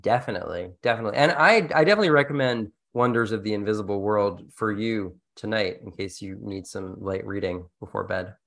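A man's voice: lowish voice, a little fast, vocal fry, hardly any pauses, a bit bright. A man hosting an educational podcast in a casual, conversational way with a jokey streak. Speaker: podcast host.

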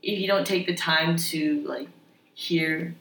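A young woman co-hosting a casual podcast, chatty and relaxed, with many agreeing fillers. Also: sits low, slow. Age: young adult. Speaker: podcast co-host. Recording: echoey room with faint noise.

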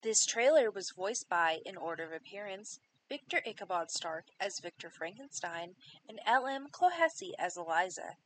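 A woman's voice: medium pitch, animated, normal speed, rough recording. A woman reading scripted credits as an announcer. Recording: clear background noise.

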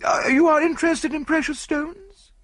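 Man as a gushing high-pitched society lady. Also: steady tone, normal speed, a bit bright. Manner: effusive, gushing.

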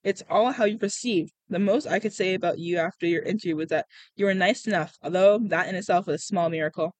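A reader voicing a gruff, scornful older male mentor in an audiobook-style novel reading. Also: medium pitch, quick pace, no room sound, close to an everyday voice, rough recording. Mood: blunt, scornful.

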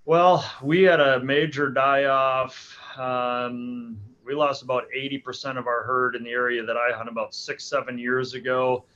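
A man's voice: mid-range voice, flat delivery, measured pace, hardly any pauses, bright, forceful tone. A man in his forties, a hunting guide, answering interview questions casually with frequent restarts and fillers. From Alaska. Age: 40s. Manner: hesitant, many restarts and fillers. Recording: dull lo-fi sound.

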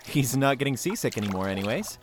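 A man's voice: medium pitch, big pitch swings, fast, music in the background, close to an everyday voice. An actor playing a carefree, playful young man in love with a mermaid in an audio drama. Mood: playful, glad.

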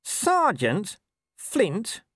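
Man as a squeaky, servile little corporal. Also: huge pitch swings, drawn-out words, fairly bright tone, slightly rough, no room sound, clean studio sound, exaggerated voice. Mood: aghast. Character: eager, anxious little male corporal, servile.

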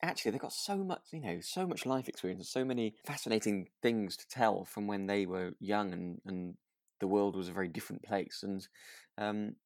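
A man's voice: lowish voice, a little fast, no room sound, studio quality. A man chatting casually as a podcast co-host, phrasing his thoughts in a hesitant, thoughtful way. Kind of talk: relaxed casual chat about a radio drama.

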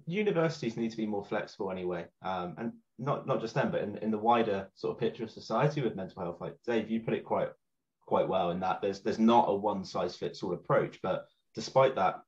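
Man, fairly low voice, measured, lo-fi dull recording. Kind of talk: casual podcast discussion. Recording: dull lo-fi mic.

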